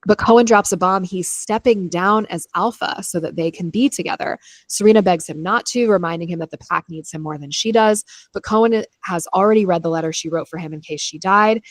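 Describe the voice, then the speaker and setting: A woman's voice: lowish voice, brisk, dry recording. A woman co-hosting a book club podcast, moving between casual, opinionated chat and read-aloud chapter summaries with dry humor and easy swearing.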